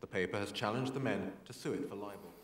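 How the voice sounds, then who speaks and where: A man's voice: lowish voice, fast, slightly rough. A British man narrating a scripted news report in an archive clip.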